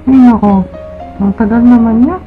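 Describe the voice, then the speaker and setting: A woman's voice: on the high side, fairly even intonation, normal speed, lightly acted. A woman voicing a bashful, polite, easily flustered young woman with a Filipino accent in a comic amateur dub. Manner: exclamatory, flustered. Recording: dull lo-fi sound with faint music.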